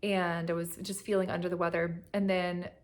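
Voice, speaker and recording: Chatty woman with a slightly hoarse voice. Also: fairly low pitch, flat delivery, moderate pace. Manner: chatty, rambling, frequent fillers and laughs. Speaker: knitting vlogger. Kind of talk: casual chat. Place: at home on a couch.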